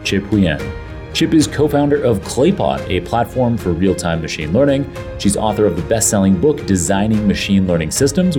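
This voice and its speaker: Effusive male podcast host, low voice. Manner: enthusiastic and effusive. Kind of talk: scripted intro then casual interview chat. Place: home studio, guest on a remote call.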